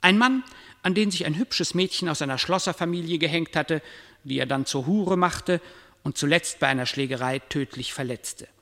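An actor playing a detached, portentous storyteller, giving formal scripted narration in a radio drama. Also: male voice, a little high, moderate pace, a bit bright, big-room sound. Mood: solemn.

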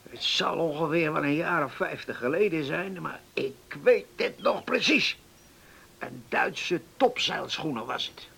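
An actor playing a garrulous old island man in his seventies, reminiscing in a halting, folksy way in a radio play. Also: a little high, animated, creaky, bright, forceful tone, husky, exaggerated voice. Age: 70s. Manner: folksy, halting, repeats himself, verbal tics. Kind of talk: radio drama acting.

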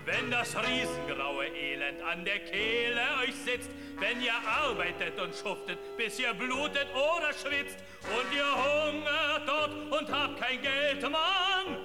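An actor playing a rousing, cynical gold-rush balladeer, half singing and half declaiming over music in a reverberant space. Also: male voice, very high-pitched, expressive, slow pace, hardly any pauses, heavily acted. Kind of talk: theatrical sung ballad with declaimed verses. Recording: long reverberant hall sound. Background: loud musical accompaniment.

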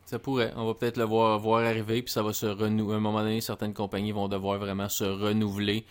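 A man's voice: on the low side, completely flat delivery, quick pace, smooth. A man with a Quebec French accent hosting a casual gaming podcast, chatty and full of repeated words as he thanks his guest. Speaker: podcast host.

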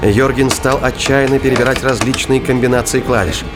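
A man's voice: mid-range voice, steady tone, fairly quick, loud music behind the voice. A man narrating scripted science fiction thriller in a dramatic audiobook style.

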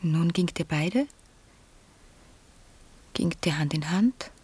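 A woman's voice: fairly low pitch, animated, slow, a little mellow, breathy edge, exaggerated voice. A woman playing an earnest, brooding young lady in a German radio drama, with intense, wistful, literary phrasing.

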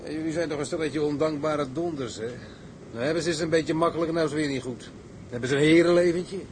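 Male actor for chiding ship's bosun: blunt, teasing, fairly high voice, seafaring drama, faint noise behind. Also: quick pace, close to an everyday voice. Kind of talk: dramatised seafaring story, scripted acting.

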